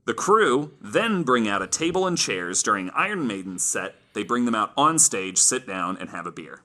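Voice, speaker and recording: Bright-voiced man telling stories on a podcast. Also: fairly low pitch, big pitch swings, moderate pace. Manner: informal, sweary, amused. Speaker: podcast host. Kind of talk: casual storytelling from notes.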